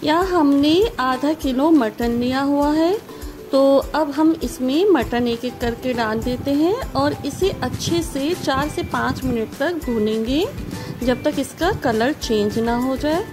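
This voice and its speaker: Woman with a high voice talking thru a recipe, friendly and instructive, music playing under her. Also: takes it slowly. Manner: friendly instructive, repeats emphatic praise. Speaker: cooking channel host. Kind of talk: step-by-step recipe voice-over. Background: background music.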